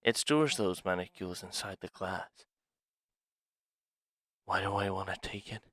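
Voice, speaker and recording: Male actor as a sly scheming dragon, low-pitched, very unhurried, hushed and confiding, ASMR roleplay. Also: no echo, studio quality. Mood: secretive, amused. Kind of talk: ASMR roleplay audio drama, one side of a dialogue.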